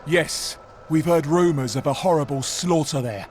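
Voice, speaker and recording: An actor voicing an urgent soldier of a mercenary band in a game cutscene. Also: male voice, on the high side, moderate pace, a little mellow, slight background noise, lightly acted. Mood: grim. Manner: urgent, rallying. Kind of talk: video game cutscene acting.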